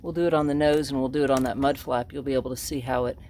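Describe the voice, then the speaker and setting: A woman's voice: a bass voice, steady tone, rapid-fire, a little mellow, slight background noise. A woman casually narrating her car detailing work outdoors, chatty and rambling with lots of fillers. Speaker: car DIY vlogger. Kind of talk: casual narration while working.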